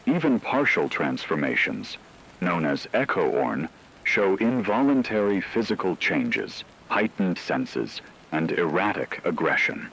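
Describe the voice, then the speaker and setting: A man playing a solemn radio news anchor, reading a formal, measured emergency broadcast in a scripted drama. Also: sits low, very animated, a bit bright, slightly rough, exaggerated voice, rough recording. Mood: grave, urgent. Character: grave male radio news anchor reporting a werewolf apocalypse.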